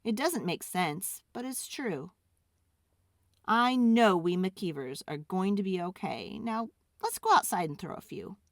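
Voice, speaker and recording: Storybook father played by a woman: gentle, a little downcast yet hopeful, soft reassurance, dry close sound. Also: mid-range voice, animated, unhurried, dry recording. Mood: reassuring.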